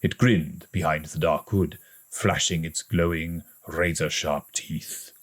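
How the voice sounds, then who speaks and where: A man reading a story aloud as an audiobook narrator, in a dramatic, expressive storytelling manner. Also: rumbling low, takes it slowly. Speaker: audiobook reader.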